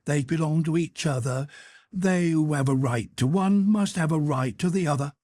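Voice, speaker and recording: Man doing a scheming young cook girl, high and wheedling, husky, storybook. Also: normal speed, warm tone, dry recording, clean studio sound. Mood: greedy. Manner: wheedling, talking to herself. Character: sly greedy young female cook.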